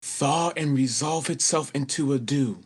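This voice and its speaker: A man's voice: mid-range voice, measured pace, slightly rough. An actor playing an earnest, plucky young male understudy in a radio play. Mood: reciting.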